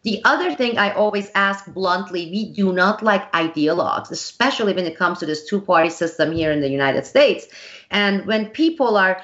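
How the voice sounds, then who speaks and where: A woman hosting an interview, talking in a halting way with many restarts. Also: medium pitch, lively intonation, fairly bright tone, highs cut off. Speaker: interview host. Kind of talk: conversational interview.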